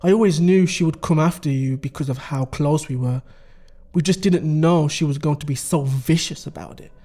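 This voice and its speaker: A man's voice: fairly high pitch, moderate pace, mellow. A man playing a scheming middle-aged father, explaining things conversationally in a dramatic audio story. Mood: grave, confiding. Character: scheming middle-aged father recounting his wife's crimes. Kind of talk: dramatic dialogue.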